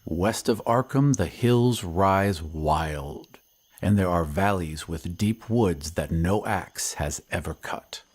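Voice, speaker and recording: A man playing a somber surveyor who recounts an eerie old tale in measured, ominous audio drama narration with hushed phrase endings. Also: sits low, slightly rough, full character voice. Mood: ominous, brooding.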